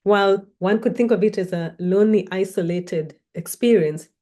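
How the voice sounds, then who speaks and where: A woman from Kenya speaks in a measured, reflective way, introducing herself as a researcher and storyteller in a personal talk. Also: mid-range voice, warm tone. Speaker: academic researcher and guest speaker.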